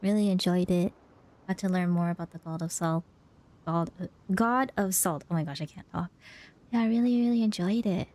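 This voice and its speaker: A woman's voice: fairly low pitch, normal speed, darkish tone. A woman doing casual livestream commentary as a game streamer, reacting in an informal, rambling way. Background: game music.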